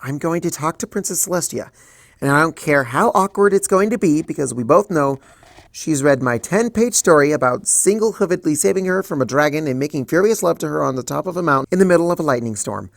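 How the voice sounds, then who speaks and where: A man voicing an anxious, flustered young female unicorn scholar in a fanfic reading, talking in frantic, rushed bursts. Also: animated, vocal fry, no room sound. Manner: frantic, rushed, high-strung outbursts.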